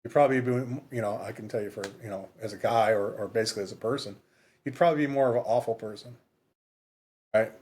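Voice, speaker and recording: A man's voice: lowish voice, fast talker, darkish tone, breathy edge. Rambling, emphatic man in his fifties. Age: 50s. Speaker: podcast co-host. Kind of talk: casual book discussion.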